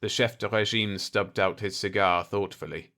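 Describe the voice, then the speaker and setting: A man's voice: on the low side, steady tone, moderate pace, bright, forceful tone. A man reading scripted science fiction narration as an audiobook narrator.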